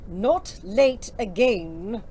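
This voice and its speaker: A woman's voice: medium pitch, animated, drawn-out words, dark tone. An actress playing a stern, scolding woman commandant of wartime ambulance drivers, curt and imperious, in an audio drama. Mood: curt, disdainful. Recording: faint noise and ambience behind voice.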